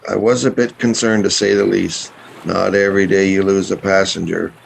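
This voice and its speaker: A man playing a practical, businesslike sea captain with a gravelly voice in a radio play. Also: sits low, little pitch movement, normal speed, rough recording. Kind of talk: radio play acting with first-person narration. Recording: faint background noise.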